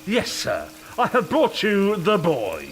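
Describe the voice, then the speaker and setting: A man's voice: sits high, wildly swooping pitch, takes it slowly, loud music behind the voice, heavily acted. A man playing a pompous, bullying middle-aged workhouse beadle in an audio drama, barking his words. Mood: officious.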